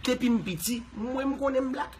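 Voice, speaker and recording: A man's voice: very high-pitched, moderate pace, darkish tone, a little hiss or hum. A man talking casually and loosely in an interview.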